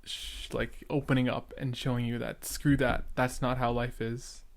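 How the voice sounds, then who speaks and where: A man's voice: mid-range voice, level tone, vocal fry. Young male podcaster, casual and earnest, mid-range voice, occasional stutter. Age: young adult. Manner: halting, stuttering, repeats words. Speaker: podcast host reacting to an old episode. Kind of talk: casual reaction commentary.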